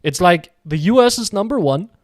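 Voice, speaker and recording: Chatty higher-voiced man streaming games. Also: animated, moderate pace, no room sound, studio quality. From Denmark. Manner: rambling, chatty, reads and answers chat. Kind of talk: casual live game commentary.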